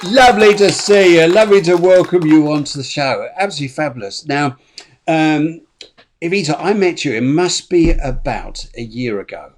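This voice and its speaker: A man's voice: on the high side, moderate pace. A man hosting an online spiritual show, chatting casually with many fillers and restarts. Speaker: show host.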